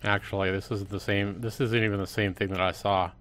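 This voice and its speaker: Man, lowish pitch, livestream host, casual chat, addressing viewers. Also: little pitch movement, brisk, fairly bright tone.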